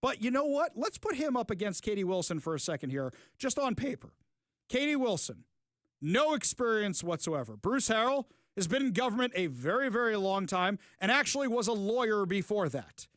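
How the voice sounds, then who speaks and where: Male talk radio host, middle-aged, high pitch, combative and emphatic. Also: sing-song, normal speed, a bit bright, dry recording, studio quality. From Seattle. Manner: emphatic, combative, punchy short declarations. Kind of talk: opinionated talk radio commentary. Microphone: studio microphone.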